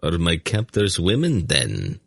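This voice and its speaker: A man's voice: low-pitched, steady tone, a little slow, breathy edge, no echo. A defiant storybook prisoner acted by a male reader, full of contempt for his captors. He delivers his lines with a sneer, in a close, dry recording. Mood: contemptuous.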